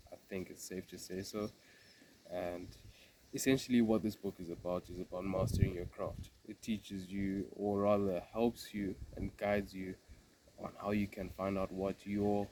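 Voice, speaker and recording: A young man with a cold, talking in a relaxed, reflective way with many fillers and restarts while sitting outdoors in a garden. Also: lowish voice, noisy background. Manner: thoughtful, meandering, with frequent fillers and restarts. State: congested from a cold. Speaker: vlogger. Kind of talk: casual reflective vlog.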